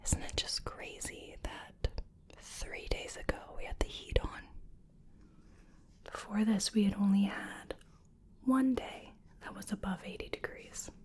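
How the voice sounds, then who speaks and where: An American woman doing a soft-spoken ASMR roleplay as a wellness practitioner, whispering gently and soothingly in a breathy voice. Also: mid-range voice, huge pitch swings. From US Midwest. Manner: gentle, soothing personal attention, unhurried with pauses. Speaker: ASMR creator playing a wellness treatment practitioner.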